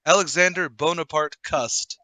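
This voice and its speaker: Bright, theatrical male radio host. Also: fairly high pitch, moderate pace, dry recording. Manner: theatrical, old-time announcer showmanship. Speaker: host and narrator of an audio drama show. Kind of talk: dramatic scripted narration in a table read.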